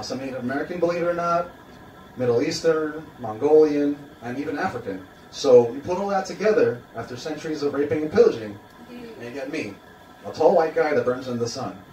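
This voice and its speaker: A man's voice: fairly high pitch, dark tone. A young man from Queens doing a stand-up comedy set, dry and self-mocking with lots of ums and uhs. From Queens, New York. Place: small open-mic café stage, indoors. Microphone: handheld mic, filmed from audience. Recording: roomy, distant phone recording with noise. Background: audience laughter and room noise.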